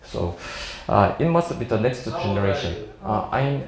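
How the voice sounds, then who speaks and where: A man in his forties with a Korean accent, a native Korean speaker, giving an informal seminar talk as a surgeon in halting, jovial English. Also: lowish voice, big pitch swings, over a noisy crowd. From South Korea. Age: 40s. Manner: halting, jovial non-fluent English with frequent chuckles. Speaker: plastic surgeon lecturing at a medical seminar. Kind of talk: informal seminar presentation to an audience. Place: indoor seminar room. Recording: echoey seminar room.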